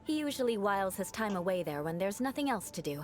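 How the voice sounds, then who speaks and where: A woman's voice: mid-range voice, very animated, quick pace, loud music behind the voice, natural voice. An actress playing a proud, aloof aristocratic female knight who speaks formally with dry, haughty teasing, in video game dialogue.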